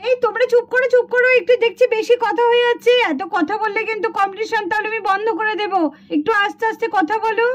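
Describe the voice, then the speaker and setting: Lady doing a really high voiced teacher doll, explainy, puppet cartoon, bit lofi. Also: fairly even intonation, fast. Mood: scolding. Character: female schoolteacher doll running a contest. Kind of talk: children's puppet cartoon dialogue. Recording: dull lo-fi sound.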